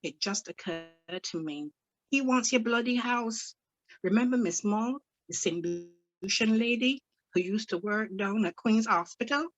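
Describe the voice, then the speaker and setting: A woman's voice: fairly high pitch, animated, dry recording, soft background music, rough recording. Casting: hot-tempered older Barbadian mother, put on by a woman reading aloud, Barbadian accent, scolding, dry close sound. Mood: gossiping. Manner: rapid, exasperated questioning, old-fashioned sayings.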